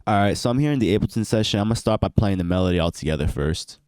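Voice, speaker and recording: A man's voice: lowish voice, lively intonation, rapid-fire. A young man with a stuffy, congested voice from allergies, casually walking through how he produced a beat in a home studio. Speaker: beat producer and channel host. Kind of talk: casual tutorial walkthrough.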